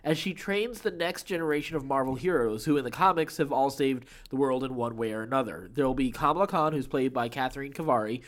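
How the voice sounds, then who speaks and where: A man's voice: mid-range voice, quick pace, hardly any pauses, a bit bright. A man hosting a podcast, reading entertainment news with informal, enthusiastic, chatty asides. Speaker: podcast host.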